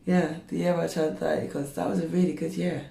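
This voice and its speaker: A woman's voice: deep voice, little pitch movement, brisk, gravelly, warm tone, echoey room. A woman in her thirties chatting candidly to camera while straightening her hair, rambling with lots of fillers. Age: 30s. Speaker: beauty vlogger.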